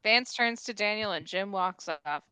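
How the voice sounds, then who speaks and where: A woman's voice: medium pitch, moderate pace, bright, forceful tone, smooth. A woman reading scripted stage directions aloud at a table read.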